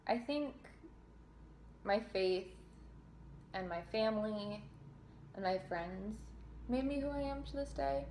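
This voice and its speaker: A woman's voice: mid-range voice, slow, long pauses, darkish tone, a little hiss or hum. A young woman from New Jersey, a singer, answering viewer questions in a casual, chatty way with fillers and restarts. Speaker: singer and vlogger. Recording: roomy echo.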